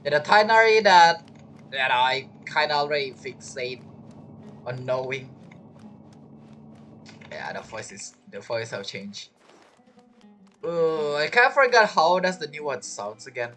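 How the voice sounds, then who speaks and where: Rambling game streamer, lively pitch. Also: a little slow, pauses often, soft background music. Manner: rambling, thinking aloud. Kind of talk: casual live gameplay commentary.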